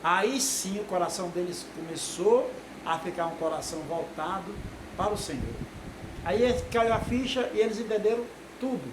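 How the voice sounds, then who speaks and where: A man's voice: sits high, moderate pace. A man, giving an informal, rambling sermon to a video audience with many tag questions. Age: 60s. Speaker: lay preacher. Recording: echoey room with noise.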